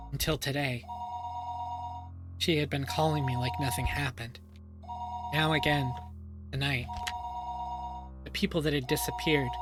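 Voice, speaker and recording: A man streaming a dramatic first-person reading of a story, pausing and repeating phrases for suspense. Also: mid-range voice. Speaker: streamer reading a visual novel aloud. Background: music.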